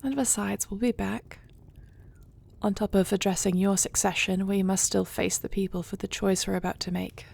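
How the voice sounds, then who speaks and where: A woman playing a resolute, noble dragon-shifter queen in a fantasy audio roleplay, speaking earnestly and formally in a breathy, husky voice. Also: medium pitch, steady tone, darkish tone. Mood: gentle, purposeful. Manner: earnest, measured, formal phrasing.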